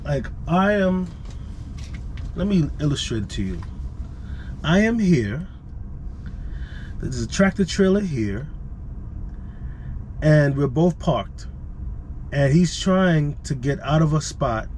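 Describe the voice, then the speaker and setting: A man's voice: on the high side, expressive, takes it slowly, long pauses, darkish tone, slight background noise. A man who vlogs about truck driving, telling a story casually, repeating phrases for emphasis, recorded on an action camera microphone. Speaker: vlogger, truck driver.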